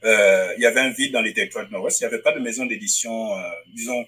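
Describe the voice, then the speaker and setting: A man from Africa with a bright, forward tone and a husky edge to his voice. He answers interview questions as a publisher and writer, thinking aloud and often circling back to restart a phrase. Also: fairly low pitch, steady tone, fast talker, poor audio. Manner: thoughtful, restarts phrases while searching for words. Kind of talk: interview guest. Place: Northwest Territories.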